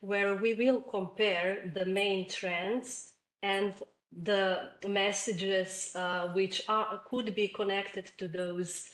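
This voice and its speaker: Slow-spoken woman professor in an echoey room. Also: medium pitch, fairly even intonation. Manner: measured, careful, with fillers. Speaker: professor and webinar mentor. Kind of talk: academic answer.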